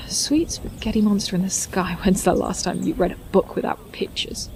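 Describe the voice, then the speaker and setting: A woman's voice: mid-range voice, expressive, moderate pace, darkish tone, a little hiss or hum, lightly acted, rough recording. A woman playing a bookish, snarky teenage science nerd in an audio drama, with a pedantic manner. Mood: exasperated.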